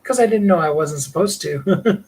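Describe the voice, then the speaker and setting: A middle-aged man with a higher tenor voice, hosting a livestream and talking in a rambling, heartfelt way with small laughs. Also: moderate pace, darkish tone, breathy edge. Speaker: livestream host and singer. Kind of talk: reflective personal livestream talk.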